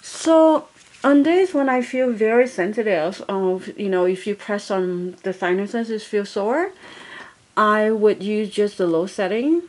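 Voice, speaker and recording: A woman's voice: medium pitch, a little slow, a little mellow, low-quality recording. Chatty woman reviewing a gadget. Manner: chatty, rambling, many fillers and restarts. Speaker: product reviewer. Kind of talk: casual product review.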